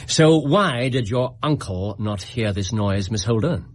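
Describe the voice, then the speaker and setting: A commanding Victorian detective for radio drama. His English voice is fairly low and a little husky, swinging freely in pitch. He questions with formal courtesy and shrewd precision. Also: lively intonation, measured pace. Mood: brisk, investigative. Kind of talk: British radio drama acting.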